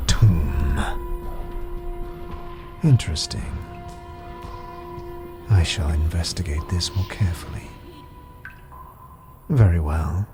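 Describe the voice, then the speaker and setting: An actor playing an imperious, menacing and cunning sorcerer in a fantasy audio drama, with haughty theatrical delivery. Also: male voice, deep voice, full character voice. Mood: intrigued, haughty. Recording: music behind the voice.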